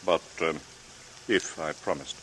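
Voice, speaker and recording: A man's voice: rumbling low, measured pace, fairly bright tone. A man playing a cornered, nervous captain in an old radio drama, hesitant and stammering. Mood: wary. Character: cornered, nervous sea captain pushed into complicity. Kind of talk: 1940s radio acting. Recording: noisy vintage radio drama recording.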